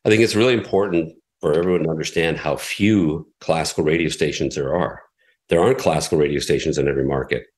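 A middle-aged man, a radio executive, answering interview questions in a relaxed, explanatory way, often repeating words as he thinks. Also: extremely low pitch, quick pace. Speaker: radio station president, guest.

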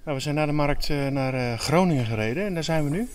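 A man's voice: a little high, lively intonation, fast, darkish tone, faint music behind. A man in his fifties vlogging outdoors to a handheld camera, chatty and hesitant with many fillers. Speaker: sailing vlogger. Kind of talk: casual vlog to camera. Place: outdoors, tropical village. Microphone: handheld camera at arm's length, selfie.

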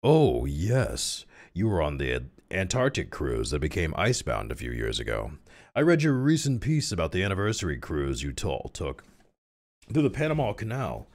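A man voicing a suave, seductive scholar and train buff, smooth and flirtatious. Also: deep voice, huge pitch swings, normal speed, heavily acted. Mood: smooth, scholarly.

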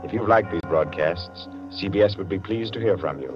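A man announcing formally and with measured phrasing in scripted 1940s radio style. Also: deep voice. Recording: muffled 1940s radio recording. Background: loud dramatic music.

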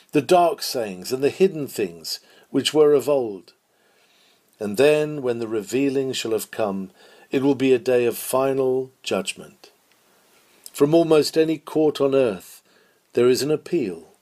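A man with a mid-pitched voice reads an old sermon aloud. His delivery is solemn and oratorical, in the declamatory manner of old-fashioned preaching. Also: a little mellow. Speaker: reader of a historical sermon.

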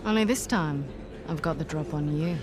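A woman's voice: sits low, normal speed, background noise, natural voice. A woman acting a cool, threatening adversary in a video game cutscene. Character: cool, threatening female adversary holding the upper hand.

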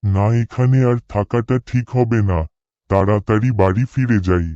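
A man's voice: fairly low pitch, normal speed, dark tone, no room sound, muffled recording, close to an everyday voice. A man voicing a dutiful, earnest village cremation-ground worker in a horror cartoon. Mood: puzzled, uneasy. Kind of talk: cartoon dialogue and spoken thoughts.

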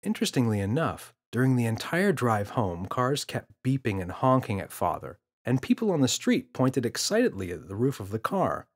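A man's voice: medium pitch, sing-song, moderate pace, few pauses. A man reading a scripted children's story as an audiobook narrator.

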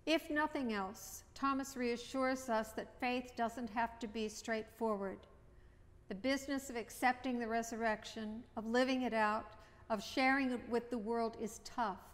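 A middle-aged woman priest gives a sermon from a lectern in a church. She speaks very slowly and reflectively in short, repeated phrases, her voice slightly high. The sound carries a long reverb from the church. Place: church chancel, indoors. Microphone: at a lectern, far camera.

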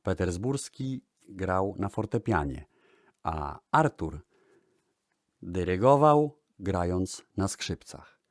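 A man hosting a radio-style music history talk in a measured storytelling manner. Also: sits low, very animated, no echo, studio quality. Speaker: host.

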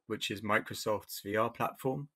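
A middle-aged man introducing himself and explaining his arts group on a video call panel, speaking through a headset in a plain, measured way. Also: fairly low pitch, sharp tone. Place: home room.

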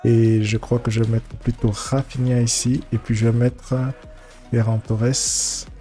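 A man with a head cold giving casual, halting commentary as he streams a football video game, often repeating his words as he thinks aloud. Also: mid-range voice, flat delivery, dark tone, faint music behind. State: congested with a cold. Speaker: gaming streamer.

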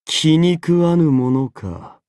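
Casting: an arrogant anime game king, imperious and condescending, slow, deliberate delivery, swinging widely in pitch. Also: animated, a little mellow, no echo, clean studio sound. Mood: disdainful. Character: arrogant golden-armored male king, haughty, easily bored. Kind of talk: dramatic anime game character voice acting.

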